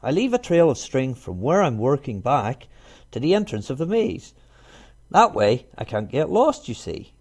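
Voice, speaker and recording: Man as a kindly storybook gardener, animated. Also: medium pitch, moderate pace, slightly rough, voice pushed up. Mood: matter-of-fact.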